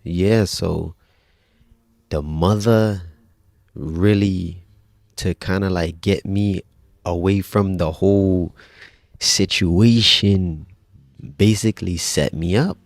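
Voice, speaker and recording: A man in his thirties telling his own life story in a relaxed, conversational way as a podcast guest. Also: sits low, takes it slowly, creaky, long pauses, no room sound, clean studio sound. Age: 30s. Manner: relaxed, conversational, frequent restarts and religious phrases. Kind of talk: personal storytelling in casual conversation.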